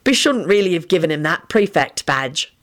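A woman voicing a brash, foul-mouthed young male wizard with a coarse, sneering manner and lively pitch. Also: fairly quick. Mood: cheeky. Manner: coarse and sneering, lots of swearing.

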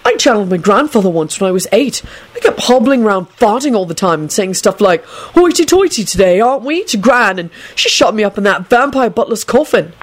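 A woman voicing an excitable, knowing young man in a storybook, blunt and eager in manner. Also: medium pitch, brisk, pitched above the performer's own voice, close to an everyday voice.

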